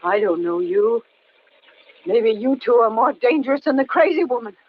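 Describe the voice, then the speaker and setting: A woman's voice: fairly high pitch, lively intonation, moderate pace, slight background noise, natural voice. Woman acting a defiant, suspicious old woman. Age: older. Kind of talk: 1940s radio thriller acting. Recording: dull old radio recording.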